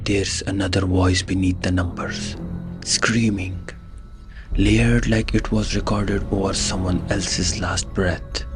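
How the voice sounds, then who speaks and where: A man's voice: low voice, little pitch movement, takes it slowly. A man narrating a scripted horror story in an ominous way, in short clipped phrases. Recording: music behind the voice. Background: music.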